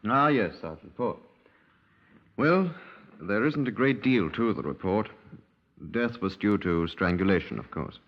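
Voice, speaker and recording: Low-voiced, formal British police doctor. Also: male voice, animated, a little slow, pauses often. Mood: clinical, precise. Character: male police medical examiner, precise, cautious, formal. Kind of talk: 1950s radio crime drama acting. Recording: dull 1950s radio recording.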